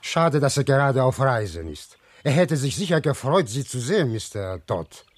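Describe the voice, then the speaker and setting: An evasive, courteous little gentleman in a sixties radio play. His voice is husky and swings up and down in pitch, recorded dry and close. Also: very animated, normal speed, dry recording. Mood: guarded politeness. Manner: polite, guarded, formal. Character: small bearded man, guardedly polite and evasive. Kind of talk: 1960s German radio drama acting.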